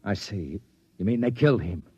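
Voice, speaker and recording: A muffled old radio recording, very dry, with a husky military colonel. He is commanding and skeptical, with curt delivery that swings widely in pitch, in fifties radio drama manner. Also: lively intonation, normal speed, a little mellow, dry recording. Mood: stunned. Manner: curt, incredulous. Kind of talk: 1950s radio drama acting.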